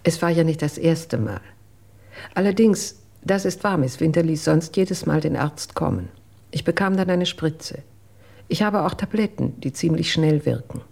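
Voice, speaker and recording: An actress in a radio drama playing a matter-of-fact household employee, composed, with a husky, creaky voice. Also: female voice, deep voice, expressive, moderate pace, a little mellow, heavily acted. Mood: composed witness.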